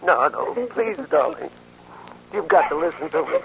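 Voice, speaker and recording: A naive young fellow in an old radio play, high-voiced and earnest. His pitch moves expressively with emotion, on a muffled vintage recording. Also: very animated, moderate pace, creaky, background noise. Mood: earnest, resigned. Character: earnest, naive young man caught up in crime. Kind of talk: 1940s radio drama acting.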